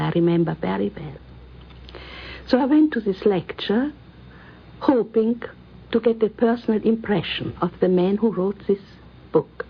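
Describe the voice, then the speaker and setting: Gravelly, husky older woman reminiscing. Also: medium pitch. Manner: earnest, deliberate, measured phrasing. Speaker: musician recalling a memory. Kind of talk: recorded reminiscence, personal storytelling. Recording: dull 1950s radio recording.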